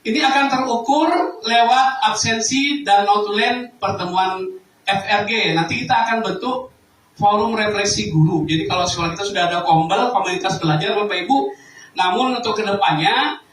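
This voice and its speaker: A man giving a formal presentation to fellow teachers, speaking deliberately and emphatically. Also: sits high, fairly bright tone, a little hiss or hum. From Indonesia. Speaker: school educator presenting an improvement project to teachers. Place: echoey room, presenting live to staff. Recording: echoey room, distant microphone.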